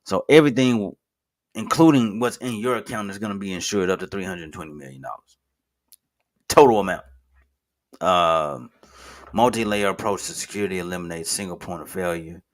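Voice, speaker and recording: Man, 30s, very low voice. Casual, rambling online host who stops to correct himself. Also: normal speed, pauses often. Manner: rambling, self-correcting, with frequent fillers and restarts; informal, addresses viewers as "guys". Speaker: finance video host. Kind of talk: casual screen-share commentary.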